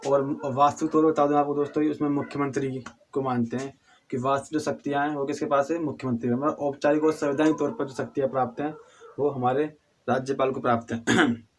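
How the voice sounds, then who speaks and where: A hoarse man with a cold teaching a civics lesson, halting and restarting often, asking questions and answering them himself. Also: mid-range voice, a little hiss or hum. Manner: halting, with frequent restarts and throat clearing; addresses viewers as friends, asks and answers own questions.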